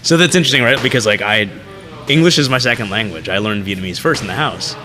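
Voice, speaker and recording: A man's voice: fairly low pitch, quick pace, bright, forceful tone, faint music behind. A man from the United States co-hosting a podcast, chatting casually and asking curious questions with many fillers. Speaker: podcast co-host. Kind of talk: casual chat.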